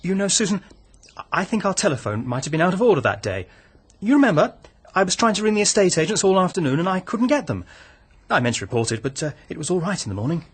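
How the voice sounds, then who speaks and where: A man's voice: high voice, sing-song, quick pace, slightly rough, heavily acted. A man in a British radio drama playing a defensive, somewhat unpleasant young husband with an abrupt manner. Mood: explaining, uneasy.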